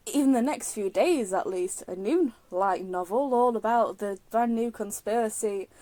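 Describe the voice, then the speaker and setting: A woman's voice: fairly high pitch, darkish tone, no room sound. A woman giving casual, joking, self-deprecating commentary as she plays a game. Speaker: let's play commentator.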